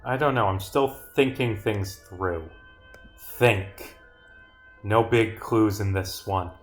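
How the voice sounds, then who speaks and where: A man live-streaming his own game, reading dialogue aloud and rambling as he thinks out loud, with some swearing. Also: lowish voice, takes it slowly, soft background music.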